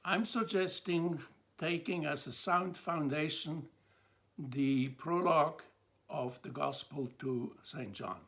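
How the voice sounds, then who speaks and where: Slow, gravelly Swiss-accented older man. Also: on the high side, a bit bright. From Switzerland. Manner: measured, pausing between phrases. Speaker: theologian presenting a talk. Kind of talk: slow, deliberate lecture to camera.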